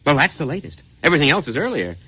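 An actor playing an eccentric, boastful struggling painter with a breezy, chatty manner, in old-time radio comedy style. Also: male voice, a little high, sing-song, very fast, background noise. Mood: proud, boastful. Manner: breezy, chatty, proud of his own work. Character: eccentric struggling painter, boastful, oblivious, sly, eager to show off.

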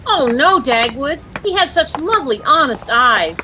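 An actress with an American accent playing a chirpy, easily dazzled young housewife who gushes and lightly scolds in a 1940s radio comedy. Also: female voice, high-pitched, big pitch swings, measured pace. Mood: gushing, admiring. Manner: gushing, breathlessly admiring, lightly scolding. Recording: noisy old-time radio recording.

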